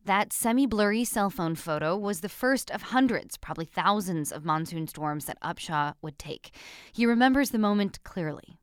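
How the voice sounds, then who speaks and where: A woman radio reporter narrating a news feature in a measured, reflective way. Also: medium pitch, lively intonation, clear, resonant tone, dry recording, studio quality.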